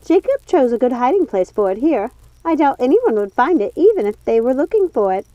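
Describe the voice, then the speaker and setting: A woman's voice: high-pitched, very animated, brisk, mellow, dry recording, voice pushed up. A woman voicing a fussy, coaxing witch sister from a storybook, centuries old yet youthful. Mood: matter-of-fact.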